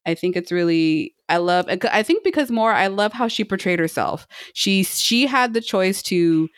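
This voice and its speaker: A woman in casual podcast conversation, recorded close and dry. She explains things earnestly, wandering through her thoughts and often stopping to find the next phrase. Her pitch sits in an ordinary middle range. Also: lively intonation, dry recording. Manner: halting, rambling, earnest explaining. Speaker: podcast co-host. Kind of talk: casual chat, reviewing a book.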